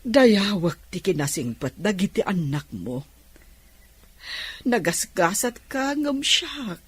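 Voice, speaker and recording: Slow, husky remorseful auntie. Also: female voice, mid-range voice, sing-song, no echo, exaggerated voice. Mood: regretful. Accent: Ilocano. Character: remorseful, grateful older auntie. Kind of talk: Ilocano radio drama acting.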